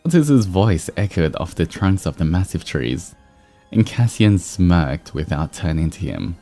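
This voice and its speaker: A man with a low, mellow voice hosts a stream and reads stories aloud. He takes his time, and his pitch moves around in a lively, amused way. Music plays behind him. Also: unhurried. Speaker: livestream host reading fan fiction aloud. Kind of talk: expressive story reading mixed with casual chat.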